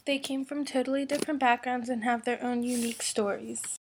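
Young woman reading narration, fairly high voice. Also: a little fast, slight background noise. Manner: read, plain and even. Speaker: student narrator. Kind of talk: scripted narration.

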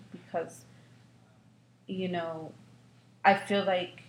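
A middle-aged woman speaks in a low, reflective voice and starts each thought with a hesitant um. The recording sounds roomy and echoey, with faint background noise. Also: gravelly. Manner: hesitant, with many ums, reflective. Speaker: interviewee. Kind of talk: casual interview answer.

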